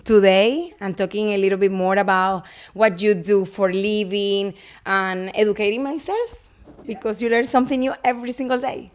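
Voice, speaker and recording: A woman's voice: mid-range voice, steady tone, normal speed. A woman with a Colombian accent asking interview questions in a polite, encouraging way.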